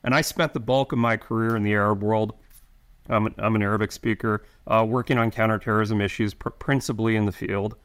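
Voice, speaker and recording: Middle-aged male guest with a lowish voice; halting delivery full of restarts. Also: fairly even intonation. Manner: halting, many restarts and fillers. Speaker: intelligence officer guest. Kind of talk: interview answers.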